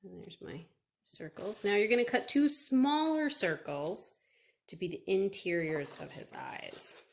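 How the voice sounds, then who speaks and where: A woman's voice: medium pitch, lively intonation, measured pace, vocal fry, slight background noise. A woman chatting through a step-by-step craft for children, asking questions and answering them herself. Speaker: children's librarian leading a craft.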